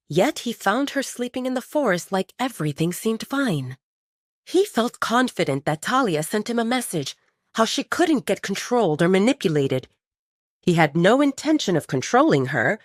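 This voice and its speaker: A woman reading a romance story as scripted audiobook narration, in an expressive, dramatic storytelling manner. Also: on the low side, no room sound, studio quality.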